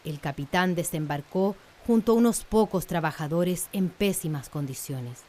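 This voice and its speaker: A woman's voice: fairly low pitch, normal speed, dark tone. A woman narrating a scripted radio drama in a solemn storytelling manner. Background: faint music.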